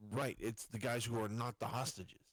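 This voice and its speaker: A man's voice: medium pitch, steady tone, normal speed, no room sound. A man talking casually as a player in a tabletop game, blunt and insistent about his plans.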